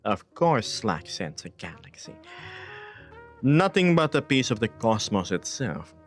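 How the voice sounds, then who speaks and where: A man hosting a casual live stream, reading viewer messages aloud in a playful, laid-back way with hushed asides and upbeat bursts. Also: mid-range voice, sing-song, measured pace, faint music behind.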